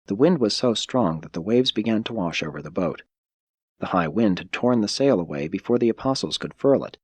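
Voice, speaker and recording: A man reading a religious audiobook as scripted narration, in a measured, solemn storytelling manner. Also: deep voice, husky, no room sound.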